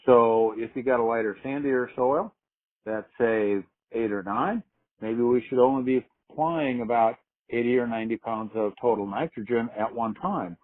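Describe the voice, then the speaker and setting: A man's voice: medium pitch, normal speed. Phone-line man explaining in business style. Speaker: agricultural marketing manager presenting on a conference call. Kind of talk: explanatory business conference call talk. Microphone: telephone conference call. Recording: narrow, phone-like conference line.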